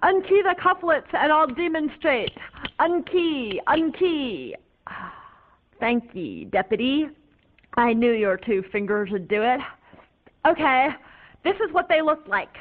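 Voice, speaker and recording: A woman playing a high, paranoid young raver rambling dreamily to police officers, her voice tinny and lo-fi. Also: animated, unhurried. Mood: spaced-out. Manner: dreamy, babbling, whimpering. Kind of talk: comic stage monologue. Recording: tinny phone-like lo-fi recording.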